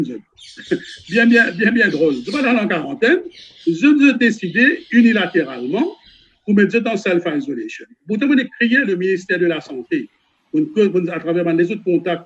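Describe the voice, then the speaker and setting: Husky Mauritian man on a muffled call. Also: a little high. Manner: impassioned, with stumbles and repetitions. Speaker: panel speaker. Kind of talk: online panel discussion. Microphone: video call.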